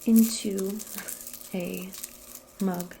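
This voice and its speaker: A woman speaking softly and breathily, often in a whisper, in a gentle, casual ASMR role play as a modern witch. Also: mid-range voice, steady tone, creaky, a little hiss or hum, low-quality recording. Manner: unhurried, gentle, casual murmuring. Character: modern witch giving a calming spell appointment. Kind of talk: soft-spoken ASMR personal attention role play.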